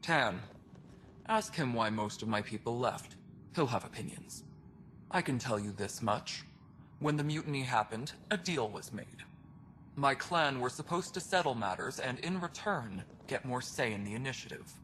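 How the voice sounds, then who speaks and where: Male actor as gruff alien superintendent, blunt, sardonic, world-weary, game cutscene, faint background noise. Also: medium pitch, animated, normal speed, fairly bright tone, lightly acted. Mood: blunt, wry. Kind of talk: video game cutscene dialogue.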